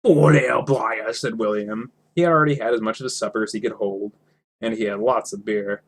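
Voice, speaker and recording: A man's voice: fairly low pitch, fairly even intonation, brisk, a bit bright. A man narrating a storybook aloud, sometimes tripping over words and repeating phrases. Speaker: reader, narrator.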